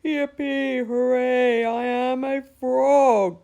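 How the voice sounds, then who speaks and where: A woman's voice: lowish voice, takes it slowly, mellow. A woman voicing a timid young male fish who wails and cries in a storybook. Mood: excited. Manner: wailing, tearful.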